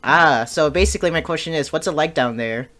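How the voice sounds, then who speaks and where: A man hosting a podcast, chatting casually with a guest over a call, relaxed and joking, with plenty of fillers. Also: a little high, brisk. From United States. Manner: laid-back, joking, lots of fillers and laughter. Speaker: podcast host. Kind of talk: casual interview chat.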